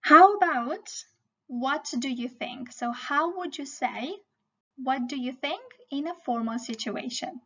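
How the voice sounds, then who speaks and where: A woman's voice: sits high, expressive, slow pace, a bit bright, muffled recording. A woman teaching a language lesson, explaining didactically and often checking in with the learner.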